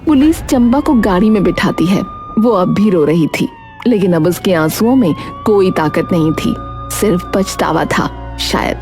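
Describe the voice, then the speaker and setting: A woman reads scripted narration for a cartoon story. She works as the storyteller. Music plays clearly underneath her voice. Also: medium pitch, expressive, unhurried, a little mellow.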